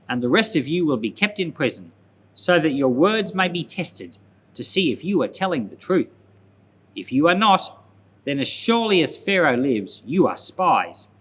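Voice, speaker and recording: A man's voice: fairly high pitch, fairly bright tone, husky, exaggerated voice. A man voicing a stern, accusing governor in a scripture story, with a harsh, commanding manner. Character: stern, accusing male governor hiding who he is.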